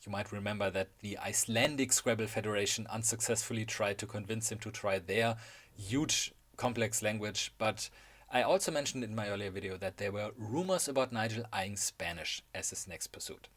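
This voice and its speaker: A man's voice: fairly low pitch, normal speed, fairly bright tone. A man with a German accent narrating a scripted video essay in a wry, enthusiastic way.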